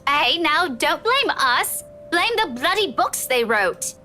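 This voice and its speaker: A woman playing a sharp-tongued, frustrated young woman in a visual novel, with an exasperated, pressing manner. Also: high voice, sing-song, slow pace, a little hiss or hum, heavily acted.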